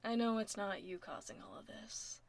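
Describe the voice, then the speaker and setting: A woman playing a laid-back, caring tomboy werewolf office admin in a soft, casual, often breathy ASMR roleplay, close to the microphone. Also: medium pitch, normal speed, close to an everyday voice. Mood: teasing, concerned. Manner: casual, teasing, reassuring. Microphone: close binaural microphone.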